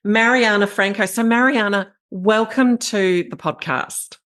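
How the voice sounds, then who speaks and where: A woman's voice: medium pitch, measured pace, fairly bright tone. A woman hosting a podcast, chatting in a rambling, effusive way as she introduces and questions her guest. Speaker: podcast host and shamanic leadership coach. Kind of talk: casual interview chat.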